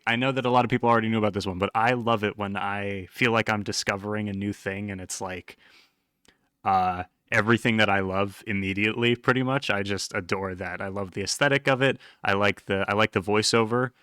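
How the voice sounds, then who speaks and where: Deep-voiced man, bright and casual. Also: fairly even intonation, a little fast, clear, resonant tone, dry recording, clean studio sound. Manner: enthusiastic, rambling, informal slang. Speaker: host reacting to a horror web series. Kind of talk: casual reaction commentary.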